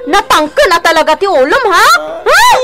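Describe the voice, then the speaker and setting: A woman's voice: very high voice, big pitch swings, normal speed, over music. An actress playing a short-tempered, nagging young mother and housewife, scolding and exasperated, in an Ilocano radio drama. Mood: panicked, angry.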